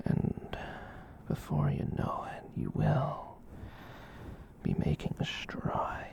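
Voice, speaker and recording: A performer voicing a gentle giant catboy in a whispered ASMR roleplay, soothing and rambling, with hesitant pauses. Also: mid-range voice, wildly swooping pitch, full character voice. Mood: gentle, reassuring. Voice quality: breathy whisper. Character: gentle giant catboy, soothing, reassuring, shyly self-conscious.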